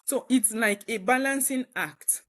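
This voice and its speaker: A woman with a Nigerian accent hosting a casual interview, asking measured questions and often giving short agreeing replies. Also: a little high, fairly bright tone.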